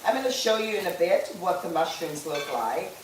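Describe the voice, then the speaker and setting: A woman's voice: fairly low pitch, expressive, normal speed, reverberant, a little hiss or hum, poor audio. A woman, chatting casually with viewers as she cooks on a livestream, laughing easily. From Guyana. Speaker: livestream cooking host. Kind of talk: casual livestream cooking chat.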